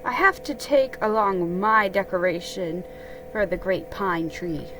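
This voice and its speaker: A woman voicing a mild, hopeful bear with a slow, simple storybook manner. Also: mid-range voice, animated, loud music behind the voice, pitched below the performer's own voice, lightly acted, rough recording. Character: mild, honey-loving, hopeful male bear.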